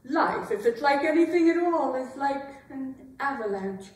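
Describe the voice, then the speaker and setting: A woman performs a proud, wounded queen trading barbs with her husband in a staged reading. Her delivery is dry and theatrical, slow, on a slightly high voice. It sounds distant, in a strongly echoing room. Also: lively intonation, a little mellow. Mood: wounded, wistful. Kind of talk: staged dramatic reading of a play. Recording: roomy, echoing hall, distant microphone.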